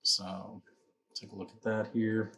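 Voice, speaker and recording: A man's voice: on the low side, flat delivery, takes it slowly, vocal fry, sharp tone, a little hiss or hum. A man giving a casual, rambling workbench demonstration in a folksy, informal way, like a builder showing his work. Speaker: custom amplifier builder presenting his work.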